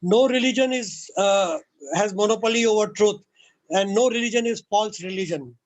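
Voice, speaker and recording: A man giving a prepared lecture point by point, earnest and measured, pausing often with "uh". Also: high-pitched. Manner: measured, earnest, many "uh" pauses.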